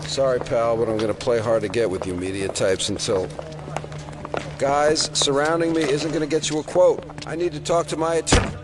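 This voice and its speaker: A man playing a wry, evasive, deadpan FBI agent in an audio drama. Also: mid-range voice, moderate pace, background noise, close to an everyday voice.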